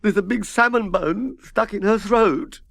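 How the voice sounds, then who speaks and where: A man's voice: unhurried, gravelly, a bit bright, no echo, full character voice. A man reading a storybook and voicing a distraught male manager who is weeping and sobbing. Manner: high, wailing and tearful. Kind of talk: storybook character voice.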